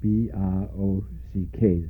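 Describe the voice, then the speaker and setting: An older man from Denmark with a Danish accent, recalling his life in an oral history interview, pausing often and spelling out names letter by letter. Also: deep voice, little pitch movement. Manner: slow, spells out names letter by letter, many hesitations. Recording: tinny lo-fi recording with faint hiss.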